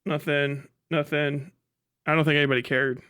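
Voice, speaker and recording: A man's voice: fairly high pitch, flat delivery, normal speed, pushed and bright, clear, resonant tone. A man doing casual livestream commentary, swearing and muttering to himself at a close mic. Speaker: livestream host reacting to a video.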